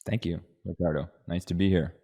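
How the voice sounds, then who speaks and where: A man's voice: sits low, steady tone, a little fast, gravelly, mellow, slightly rough. A young man from Denmark who grew up in rural Canada, a podcast guest talking thoughtfully, with restarts and "you know"s. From Denmark, raised in rural Canada. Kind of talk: relaxed conversation.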